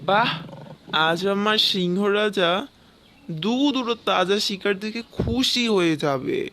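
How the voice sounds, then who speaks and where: A proud, predatory cartoon lioness, voiced by a woman, with a medium-pitched female voice. She speaks imperiously, as a queen of the jungle wary of being tricked. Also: measured pace, fairly bright tone, a little hiss or hum. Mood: gloating. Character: predatory lioness, proud wife of lion king. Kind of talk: animated fable character acting.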